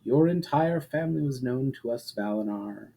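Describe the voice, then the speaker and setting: A man's voice: medium pitch, lively intonation, moderate pace, vocal fry, warm tone, slightly rough. A man voicing a powerful, serene elven moon priestess in a storybook, with a stately, formal and solemn manner.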